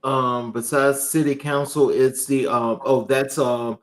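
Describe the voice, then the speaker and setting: A man hosting an interview, asking questions and filling pauses with hesitant fillers. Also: medium pitch, steady tone. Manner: brief backchannels, hesitant fillers. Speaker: interviewer, host. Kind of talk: conversational interview.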